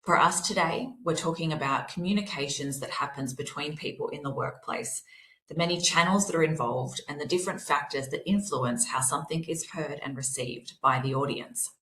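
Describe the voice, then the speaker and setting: Low-voiced hoarse woman presenting a seminar. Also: moderate pace, fairly bright tone, echoey room, rough recording. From Australia. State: slightly hoarse.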